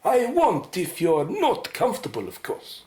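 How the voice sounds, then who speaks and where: A woman acting in a radio drama as a proud, excitable medical scientist who speaks in a formal, precise, showy way. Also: on the low side, a little slow, echoey room. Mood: eager, courteous.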